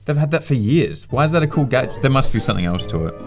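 An Australian man in his thirties hosts in a small studio. He banters casually and jokes around in a mid-pitched voice, with music playing loudly behind him. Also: very animated, fast talker. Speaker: host of a comedy unboxing show. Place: small dark curtained studio.

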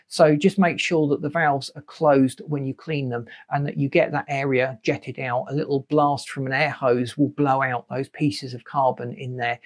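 A man in his forties presenting an explanatory tutorial in a measured, methodical way. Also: on the high side. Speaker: presenter of a car maintenance channel.

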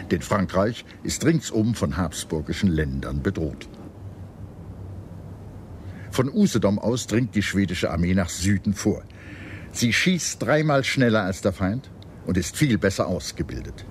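A man reading scripted historical documentary narration in a measured, serious storytelling manner. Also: low voice.

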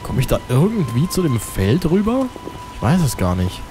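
A man with a cold rambles through casual gameplay commentary, often muttering to himself. Loud game music plays behind his voice. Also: medium pitch, huge pitch swings, normal speed. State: has a cold. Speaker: let's play commentator.

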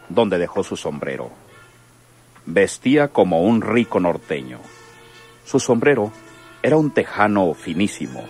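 A man narrates a radio serial in a florid, theatrical manner. His deep voice moves with a lively, dramatic range over faint music.

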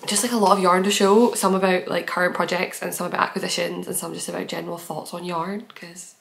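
A woman's voice: lowish voice, flat delivery, quick pace, gravelly. Chatty young woman with a head cold. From based in Edinburgh. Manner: chatty, giggly, rambling with fillers. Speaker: knitting podcast host. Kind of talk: casual chat to camera. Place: small room at home, bookshelves.

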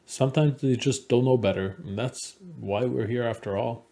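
A man in his forties from Montreal gives a prepared conference presentation in a measured, earnest storytelling manner. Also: medium pitch, creaky, warm tone. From Montreal, Canada. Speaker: conference speaker, game developer. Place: small indoor room at home.

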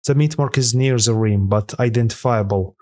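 A man reading a scripted voice-over that counts down rare coins sold at auction, in a steady, even manner with an occasional slip. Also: medium pitch, moderate pace, no echo, studio quality. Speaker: narrator of a coin auction countdown. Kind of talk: scripted voice-over narration read aloud.

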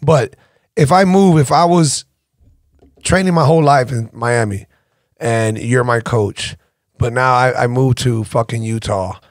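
A man in his thirties hosting a podcast, with a mid-pitched voice. He talks bluntly and swears freely. He is recorded close and dry on a studio boom mic in a small room. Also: measured pace, no echo. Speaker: podcast host. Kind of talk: casual interview. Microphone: studio boom mic with headphones.

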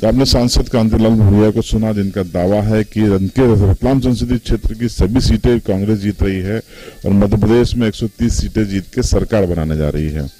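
A man's voice: fairly low pitch, normal speed, warm tone, faint music behind. A middle-aged man reporting for local news, formally framing questions in an office. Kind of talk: news interview questions and sign-off. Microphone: handheld branded mic.

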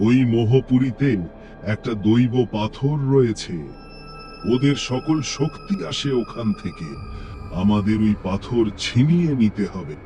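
A man's voice: medium pitch, huge pitch swings. A man voicing a commanding, cautious demon overlord in a horror fairy-tale cartoon. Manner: commanding, measured. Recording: music under the voice.

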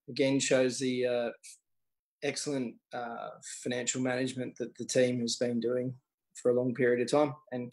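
Male councillor, Australian accent, level melody and average pitch, addressing a council meeting formally. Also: low-quality recording. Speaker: local councillor.

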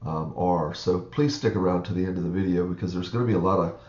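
A man's voice: sits low, quick pace, darkish tone, echoey room, lo-fi sound. A man with a froggy, groggy morning voice, rambling through a casual screen-share walkthrough with many fillers. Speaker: online reseller vlogger.

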